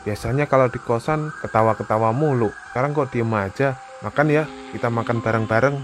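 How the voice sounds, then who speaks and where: A man voicing a young Indonesian hiker, a practical, concerned friend talking colloquially in an animated horror story. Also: medium pitch, a little fast, background noise, close to an everyday voice. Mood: casual, practical. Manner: casual, colloquial. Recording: music behind the dialogue.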